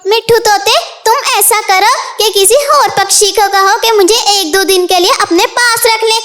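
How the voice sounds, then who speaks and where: A woman's voice: very high-pitched, steady tone, moderate pace, fairly bright tone. A woman voicing a caring, helpful little female bird, earnest and concerned, in a children's cartoon. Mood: reassuring. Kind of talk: Hindi children's cartoon dialogue.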